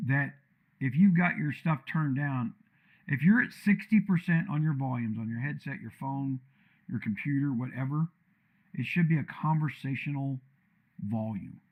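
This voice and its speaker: Blunt man with a deep commanding voice. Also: breathy edge, phone-quality sound. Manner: blunt, loud, rambling. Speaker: streamer tuning his microphone. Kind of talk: casual live-stream rant. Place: untreated room with echo and a computer fan.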